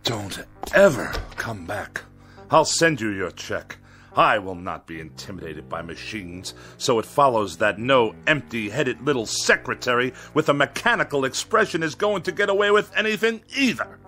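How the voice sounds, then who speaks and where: An actor playing a haughty, paranoid middle-aged writer in a radio drama, with florid, sarcastic speech. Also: male voice, medium pitch, expressive, moderate pace, pushed and bright. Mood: defiant, furious. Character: pompous, paranoid, irascible middle-aged male writer who hates machines. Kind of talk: theatrical radio drama acting. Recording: studio radio drama with music behind.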